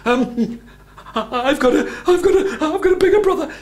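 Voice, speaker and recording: Man doing a very high, squealing nervous goat. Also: lively intonation, normal speed, darkish tone. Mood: frantic bargaining. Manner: squealing, stammering, pleading. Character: middle-sized nervous male billy goat, pleading bargainer.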